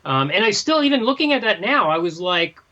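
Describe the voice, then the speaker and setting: A man's voice: sits high, big pitch swings, a little fast, sharp tone. A man co-hosting a casual book discussion, hesitant and often repeating himself, on slightly dull lo-fi audio. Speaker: podcast co-host.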